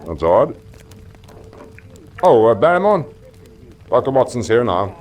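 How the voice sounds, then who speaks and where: Radio drama house master: relaxed yet brisk, curious, very varied intonation. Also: male voice, mid-range voice. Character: easygoing master of a country house. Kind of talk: classic radio drama acting.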